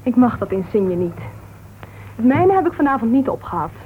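A woman's voice: fairly high pitch, very animated, normal speed, slight background noise. A woman playing a tart, self-assured society woman in a radio play, arch and dryly mocking. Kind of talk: Dutch radio play acting. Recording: tinny old radio recording.

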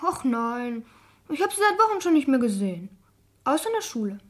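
A cheeky young schoolgirl in a radio crime play. Her voice is high and very lively in melody, with a sullen, blunt, evasive way of answering. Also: brisk. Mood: sulky, evasive. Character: sullen, cheeky twelve-year-old schoolgirl, defiant. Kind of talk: German radio crime drama acting.

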